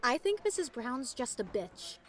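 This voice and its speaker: A woman's voice: high voice, big pitch swings, measured pace. A woman playing an indignant, outspoken teenage schoolgirl in an audio drama, talking casually and emphatically. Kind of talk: naturalistic audio drama acting.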